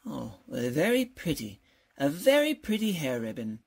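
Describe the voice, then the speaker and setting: A woman's voice: sits low, very animated, normal speed, vocal fry, darkish tone, husky, full character voice. A woman playing a blustering, flustered older male college professor who stammers and fusses, in old-time radio comedy style. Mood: fussy, indignant. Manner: stammering, fussy, backpedaling.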